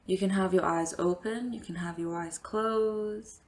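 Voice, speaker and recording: A woman leading a guided meditation as a yoga teacher, giving gentle, invitational instructions with long pauses. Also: mid-range voice, steady tone.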